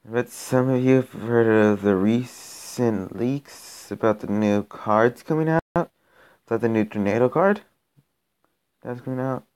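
A young man hosting a mobile game channel, his voice a bit raspy from being sick, rambling and cutting himself off as he comments on his matches. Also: lowish voice, darkish tone. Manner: rambling, self-interrupting, gets exasperated during play. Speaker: gaming channel host. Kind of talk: casual gameplay commentary.

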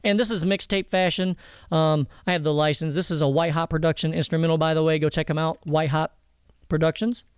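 Man, higher-pitched, crisp voice, quick pace, casual tutorial, direct explanations. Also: level tone, no echo, studio quality. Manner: informal, explanatory, addresses viewers directly. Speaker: audio mixing instructor. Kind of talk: casual screen-recorded software tutorial. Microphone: close voice-over mic over screen recording.

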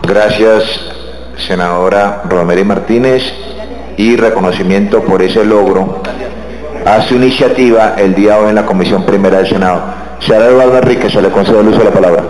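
A man with a Colombian accent chairing a parliamentary committee, giving brisk, formal procedural announcements. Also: lowish voice, darkish tone. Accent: Colombian Spanish. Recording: roomy chamber.

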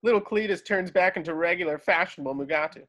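Male narrator, slightly high register, reads scene directions for an online script read. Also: fast talker, a bit bright. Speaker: narrator reading stage directions. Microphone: video call.

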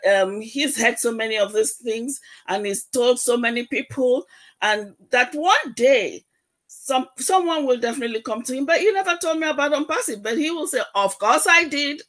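A woman guest on a video call, earnestly urging listeners, repeating herself and pausing to search for words. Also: a little high, a bit bright. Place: home room. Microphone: webcam on video call.

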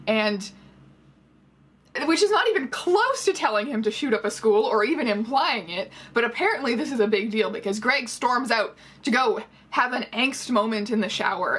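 High-voiced, slightly husky young woman, sarcastic. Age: 20s. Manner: sarcastic, animated storytelling with mocking quotes. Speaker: vlogger reviewing a book. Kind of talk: casual scripted-loose commentary to camera. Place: small bedroom, indoors.

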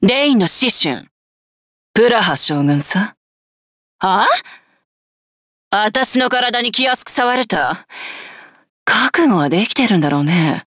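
A woman's voice: medium pitch, animated, moderate pace, long pauses, a bit bright, dry recording, clean studio sound. A woman voicing a cruel, arrogant female general in a video game, with haughty, scornful, theatrical taunts. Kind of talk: Japanese video game character voice lines.

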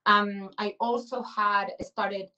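A woman in her forties from Chile, reflecting aloud in a webinar presentation, often pausing on fillers and restarting her sentences. Her voice sits at an ordinary middle pitch. She sounds a little distant from her microphone on a video call. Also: level tone, sharp tone, highs cut off. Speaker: guest speaker.

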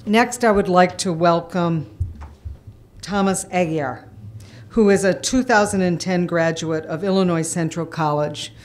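A middle-aged woman hosts from a podium in a meeting room. Her voice is a bit low for a woman. She gives a formal introduction into the microphone. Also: unhurried, a little mellow. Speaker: event host introducing speakers. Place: indoor college meeting room.

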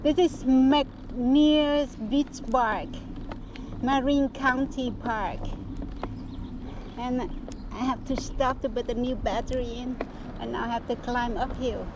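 A woman with a high voice talks slowly as she rides her bike, giving short remarks in simple, broken English. She is recorded on a handlebar action camera outdoors. Loud wind and passing traffic sit under her voice. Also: fairly even intonation. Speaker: cycling vlogger. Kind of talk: casual running commentary while riding. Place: outdoors on a bike along roads and a fishing pier. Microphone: action camera mounted on handlebars.

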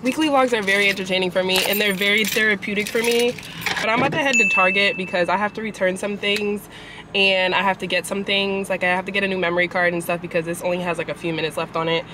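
A woman's voice: medium pitch, quick pace. Nasal woman in her 20s talking to a dash cam while driving, swears a bit, street noise around. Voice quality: nasal from a cold. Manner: chatty, animated, swears casually. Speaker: vlogger. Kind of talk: casual vlog chat. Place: parked car at a traffic light. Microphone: camera on the dashboard. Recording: car with street noise behind.

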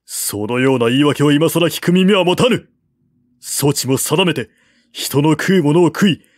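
A man's voice: a little high, no echo, clean studio sound, voice pushed up. Man acting a wrathful, commanding old warlord. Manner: commanding, scolding, forceful delivery. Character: stern, wrathful old warlord king and foster father.

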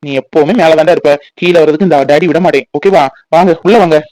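A man's voice: on the high side, fairly even intonation, fast talker, a little mellow, no room sound, lightly acted, rough recording. A man playing a protective grandfather in a family drama, consoling his family firmly. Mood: reassuring, firm. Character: protective, reassuring grandfather and head of household.

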